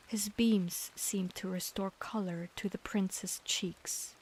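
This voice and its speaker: A woman with a British-leaning accent learned as a second language, reading a fairy tale aloud in a soft, half-whispered storybook manner. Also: mid-range voice, steady tone, slow pace, slight background noise, rough recording. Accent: British-leaning English learned as a second language. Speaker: streamer reading stories aloud. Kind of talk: slow bedtime storybook reading.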